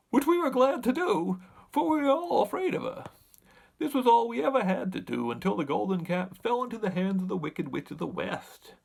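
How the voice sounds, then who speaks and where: A man voicing a talkative winged monkey king who recounts his tribe's history in an animated, deliberate storybook manner. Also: sits high, creaky, full character voice. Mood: explanatory. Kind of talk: storybook character narration.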